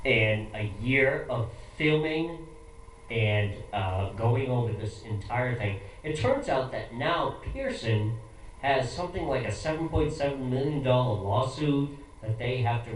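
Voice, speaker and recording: An American man hosting a talk show in a casual, blunt, halting and rambling way, recorded at a distance in a very echoey room. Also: medium pitch, slight background noise. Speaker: show host. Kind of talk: casual opinionated interview talk.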